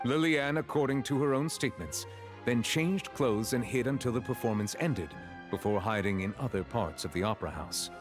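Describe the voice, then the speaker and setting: An actor voicing a stern, formal chief justice who lays out a case in a video game. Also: male voice, mid-range voice, music in the background, natural voice. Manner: measured, formal, deliberate.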